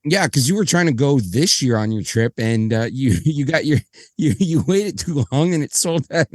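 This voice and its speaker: A man co-hosting a casual podcast, slightly hoarse, rambling and often correcting himself as he talks. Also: medium pitch, animated, normal speed, no echo, clean studio sound. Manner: rambling, apologetic, self-correcting. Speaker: podcast co-host.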